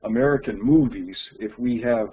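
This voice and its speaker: A man's voice: medium pitch, normal speed, husky. A man teaching English in an online lesson, often repeating words as he starts a sentence. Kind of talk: casual online conversation. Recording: tinny online call audio with faint noise.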